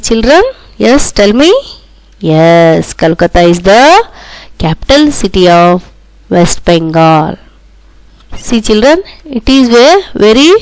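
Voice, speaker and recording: A woman school teacher with a South Indian accent and Kannada as her first language gives a lesson to children. She speaks slowly, repeating key points in an instructive way. The recording is dry and close, with very little room sound. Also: mid-range voice, darkish tone, no echo, poor audio. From Karnataka, India. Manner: repetitive, instructive, often addressing listeners directly with tag questions. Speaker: primary school social studies teacher.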